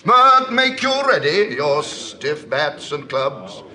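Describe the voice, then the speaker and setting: An actor playing a genial, wily Roman patrician in a Shakespearean drama, with a husky voice and a teasing, theatrical delivery. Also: male voice, a little high, big pitch swings, slow, bright, forceful tone, full character voice. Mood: scornful, rousing. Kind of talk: classical Shakespearean stage acting.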